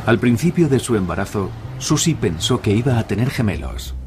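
A man's voice: on the low side, big pitch swings, moderate pace, noisy background. A man with a Castilian Spanish accent reading scripted documentary narration. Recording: music under the voice. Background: guitar music.

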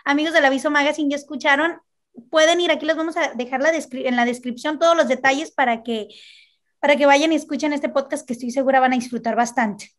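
A young adult woman interviewing a guest over a video call from home, warm and enthusiastic. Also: high voice, steady tone, fast talker. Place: home room.

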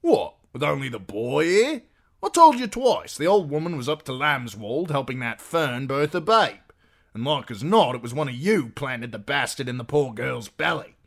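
A harried, rustic innkeeper husband, played by a male reader in a storybook. He grumbles defensively in a raised, forceful voice that jumps about in pitch. His speech is folksy and aggrieved. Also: sing-song, normal speed, creaky, heavily acted. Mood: defensive, accusing.

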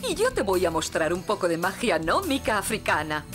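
Actress playing cheerful cartoon gnome woman, knowing, lively melody, loud music behind. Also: medium pitch, brisk, natural voice. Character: cheerful, knowing female African gnome with magic. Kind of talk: dubbed cartoon acting.

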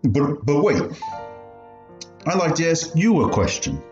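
A man playing an animated, pained old survivor. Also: fairly high pitch, a little slow. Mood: questioning, sceptical. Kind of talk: student radio play acting. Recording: dull, roomy recording with music.

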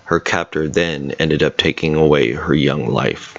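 A man giving a measured, serious scripted voice-over narration about a local ghost story. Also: rumbling low, creaky, fairly bright tone, no room sound, lo-fi sound. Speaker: paranormal investigator narrator.

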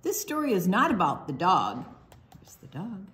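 A woman's voice: on the low side, wildly swooping pitch, normal speed, fairly bright tone. An older woman from Iowa, telling stories and reading picture books aloud to children. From Iowa, United States. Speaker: children's storytime presenter. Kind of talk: storytelling and picture-book read-aloud for children. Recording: faint background noise.

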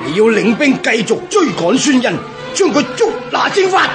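An actor playing a proud supreme army commander, declaiming pompously in a historical radio drama. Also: male voice, extremely high pitch, very animated, moderate pace, over music. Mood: triumphant.

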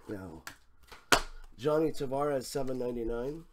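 A hoarse man, losing his voice and clearing his throat, hosting a card break and calling out cards one by one. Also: mid-range voice, very noisy. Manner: slow, clipped call-outs of cards and prices. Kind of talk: live card break commentary. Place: room with a desk and a banner behind.

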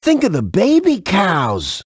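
A man voicing an excitable, suspicious video game creature with a raspy voice and an urgent, exclaiming manner. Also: high voice, slow, no echo, studio quality, exaggerated voice. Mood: urgent, frantic. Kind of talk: video game character dialogue.

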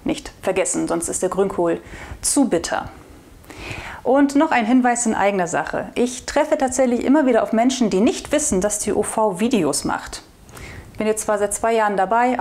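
A young woman hosts a local newspaper's weekly video news show. She talks to the camera in a relaxed, chatty tone, then reads short news items plainly. The sound is close and clean from a lapel mic in a small studio. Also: mid-range voice. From northwestern Germany. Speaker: video host of a regional newspaper. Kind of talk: casual weekly news roundup. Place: studio in front of a blue backdrop.

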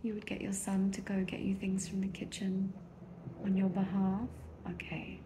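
A woman doing a soft-spoken ASMR therapist roleplay, gentle and reassuring, often slipping into a whisper. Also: medium pitch, completely flat delivery, normal speed. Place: small indoor room at a desk. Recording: soft background noise, keyboard clicks. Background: computer keyboard typing.

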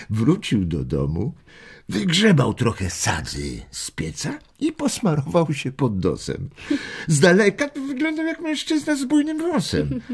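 An old grandfather spins family tales for an audio drama for children. His husky voice takes its time, amused and full of expression, rising and falling widely. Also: on the high side, full character voice. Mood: fond, reflective. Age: older. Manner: unhurried, chuckling, expressive. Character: warm, amused old grandfather telling family war stories. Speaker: storyteller.

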